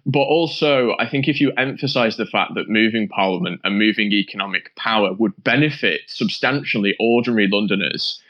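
A man on a political panel talks in a lowish voice, building his points slowly through hesitant restarts. The sound is dull and lo-fi. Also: a bit bright. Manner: thoughtful, hesitant restarts with repeated "I think". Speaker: panel guest. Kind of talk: political discussion.

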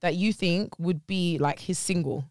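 An animated, teasing and emphatic co-host chatting casually and debating music with friends. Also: medium pitch, a little slow, no echo, studio quality.

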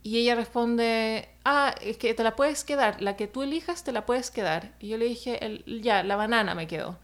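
A woman's voice: fairly high pitch, moderate pace. A woman, an illustrator telling a personal story in a casual chat, hesitant with many fillers.

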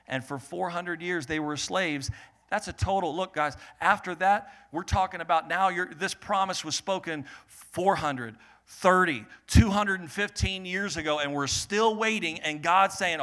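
A man preaching a sermon in a lively, joking way, swelling loud, then dropping to quiet teaching. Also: on the high side. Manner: animated, jokey preaching with sudden loud swells and quiet stretches. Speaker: pastor.

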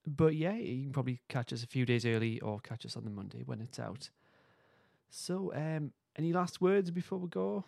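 A man's voice: mid-range voice, lively intonation, normal speed, creaky, a little mellow, no room sound, studio quality. A man hosting a podcast, chatting casually in an informal, understated way. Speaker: podcast host. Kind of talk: casual review chat.

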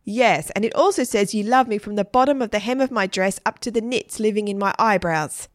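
A woman's voice: medium pitch, a little fast, dry recording, clean studio sound, voice pushed up, lightly acted. A woman voicing a blunt schoolgirl in a story, demanding and incredulous.

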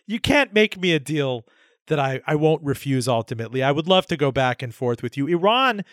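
Brisk radio host, dry close sound. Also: male voice, fairly high pitch, no room sound. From Iranian heritage. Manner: lively, probing questions. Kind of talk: radio interview hosting.

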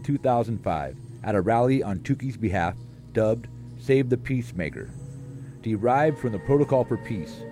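A man reading scripted documentary narration for a radio feature. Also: lowish voice. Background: music bed.

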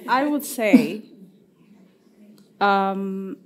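A woman's voice: mid-range voice, drawn-out words. A woman on a discussion panel, English not her first language, speaking reflectively about personal experience.

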